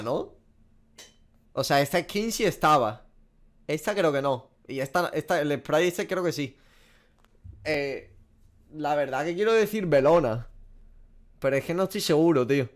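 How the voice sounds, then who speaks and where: Slangy young man from Spain, thinking aloud. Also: a little high. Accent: Spanish from Spain. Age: 20s. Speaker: gaming streamer. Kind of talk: casual reaction commentary over a quiz. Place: small room at a desk, indoors.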